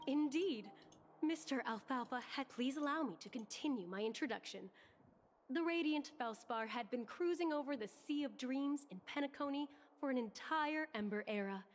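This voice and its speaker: A woman's voice: high voice, expressive, moderate pace, in a large hall, soft background music. A woman voicing a courteous attendant in a video game, speaking formally and deferentially. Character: formal, courteous female attendant giving official explanations.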